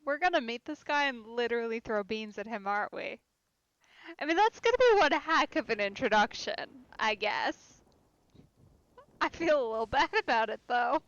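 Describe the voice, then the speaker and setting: A woman's voice: on the high side, lively intonation, moderate pace, fairly bright tone, dry recording, dull audio. A woman giving casual live commentary as she plays a game, musing aloud and reacting to it. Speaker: let's play streamer.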